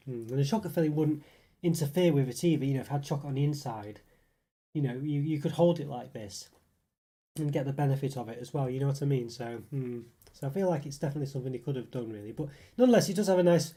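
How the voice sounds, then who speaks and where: A man's voice: mid-range voice, warm tone. A man with a congested voice from a cold, reviewing snacks in a rambling, casual live stream full of fillers. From United Kingdom. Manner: rambling, hedging, many fillers. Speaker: YouTube food reviewer.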